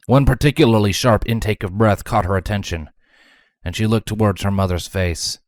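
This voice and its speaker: A man's voice: on the low side, moderate pace, darkish tone, no room sound, clean studio sound. A man reading a story aloud as scripted narration. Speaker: fan fiction narrator.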